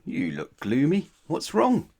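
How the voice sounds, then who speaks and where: A man's voice: on the high side, huge pitch swings, slow, a little mellow, voice pushed up, full character voice. A man voicing a greedy, big-bellied storybook man with a hearty, cheerful manner.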